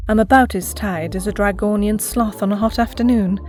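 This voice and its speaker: A woman's voice: medium pitch, steady tone, a little fast, a little mellow, faint music behind, lightly acted. A woman playing a subdued, weary space mercenary in an audio drama, polite and reserved.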